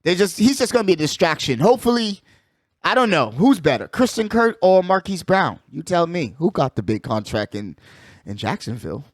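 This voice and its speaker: High-voiced man, lively pitch swings, dry sound, sports show host, slangy, opinionated, emphatic, conversational. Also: brisk, no echo. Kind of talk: casual opinionated sports talk.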